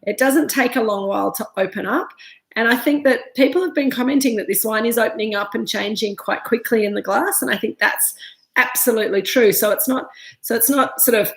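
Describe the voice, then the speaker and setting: A woman in her thirties with an Australian accent talks her way through a wine tasting, stuffy from a cold and full of ums and restarts. Her voice has a gravelly edge. The room around her echoes noticeably. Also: on the high side, fairly quick. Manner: chatty, many ums and restarts. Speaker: winemaker hosting a live virtual tasting. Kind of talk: casual live-stream chat, reading viewer comments. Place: winery room.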